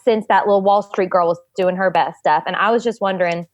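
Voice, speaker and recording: A woman's voice: medium pitch, fairly even intonation, fairly quick, muffled recording, lightly acted. A woman playing a brash, blunt, hard-selling agent for child actors in improvised comedy. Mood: brash, impressed. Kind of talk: improvised comedy character talk.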